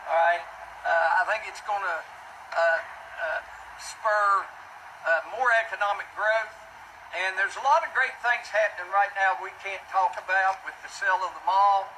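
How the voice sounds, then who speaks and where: A man giving press conference remarks as a city official, in a halting, plainspoken, folksy manner. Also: high-pitched, fairly even intonation, bright, forceful tone. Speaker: city official speaking at an announcement. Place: public announcement event. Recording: noisy distant mic, ambient background hum.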